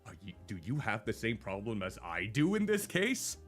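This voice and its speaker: Loud-voiced chatty man streamer. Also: fairly low pitch, big pitch swings, normal speed, faint music behind. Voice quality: naturally loud voice. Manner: rambling, chatty, self-deprecating. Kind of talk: casual stream chat.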